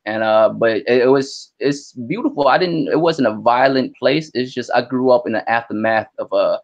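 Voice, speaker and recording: A young man from Vietnam, a Vietnamese native speaker, chatting as an interview guest in an animated, slangy way. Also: mid-range voice, moderate pace, muffled recording. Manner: animated, slangy, lots of "you know what I mean". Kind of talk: casual interview chat.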